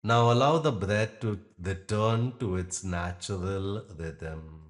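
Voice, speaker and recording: A man with a low-pitched voice leads a guided relaxation. He delivers each instruction gently and very slowly, leaving generous space between phrases in the manner of a yoga nidra teacher. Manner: gentle, unhurried instruction with long pauses. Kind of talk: guided meditation.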